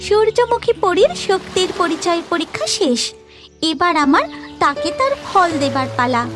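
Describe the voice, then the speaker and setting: Actress doing a water fairy, children's cartoon, very high pitch, swooping intonation, watchful, magical. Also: female voice, sing-song, moderate pace, over music. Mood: pleased, solemn.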